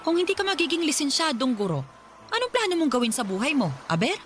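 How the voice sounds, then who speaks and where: A strict middle-aged Filipino mother in a radio drama. Her voice sits fairly high as she scolds and nags with exasperated insistence. Music plays clearly beneath her. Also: very animated, fast. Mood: scolding, exasperated. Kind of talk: Tagalog radio drama acting. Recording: background music under the voice.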